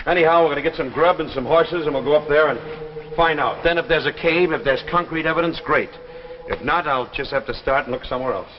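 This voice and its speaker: A man's voice: a little high, fast, close to an everyday voice. An actor playing a stubborn, driven man with an American accent, speaking intensely in a 1950s television drama. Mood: determined. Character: stubborn, driven man hunting for proof of saucers. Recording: muffled 1950s television soundtrack, faint noise.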